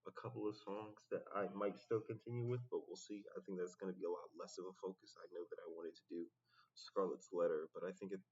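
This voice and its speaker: A man talking in a casual, reflective solo vlog, halting and often pausing mid-sentence. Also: sits low, steady tone, darkish tone, husky. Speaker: rapper vlogging his progress. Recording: dull, muffled lo-fi sound.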